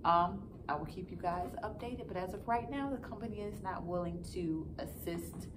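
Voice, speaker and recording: A woman's voice: fairly low pitch, normal speed. A woman in her thirties giving a frustrated, matter-of-fact update in a casual vlog style, recorded in a classroom a few feet from the camera. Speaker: vlogger giving a customer review. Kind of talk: casual update talk to followers. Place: school classroom, indoors. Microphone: camera a few feet away. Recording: clear background noise, phone-like distance in room.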